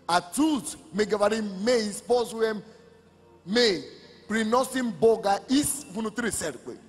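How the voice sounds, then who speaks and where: A man's voice: high voice, lively intonation, slow pace. A male pastor preaching a sermon with impassioned, emphatic declarations. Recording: long church hall reverb with music.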